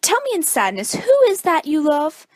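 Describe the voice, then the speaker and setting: A woman's voice: high voice, huge pitch swings, normal speed, dry recording, clean studio sound, voice pushed up. A woman reading a concerned, level-headed young male cousin in an amateur Shakespeare performance, earnest and questioning. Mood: gently coaxing.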